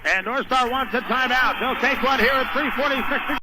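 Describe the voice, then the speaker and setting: A man's voice: extremely high pitch, brisk. An American man doing live radio play-by-play of a basketball game in clipped, running phrases. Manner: clipped, running description of the action. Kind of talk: live radio sports commentary. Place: indoor basketball gym during a game. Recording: noisy live radio gym broadcast.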